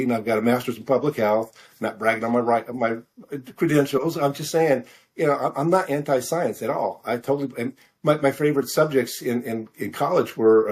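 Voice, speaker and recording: Male interview guest, sixties, rough-edged husky voice at medium pitch, hesitant and meandering conversational delivery. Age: 60s. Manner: halting, rambling, hesitant with repeated words. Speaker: podcast guest, science fiction author. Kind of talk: casual interview talk.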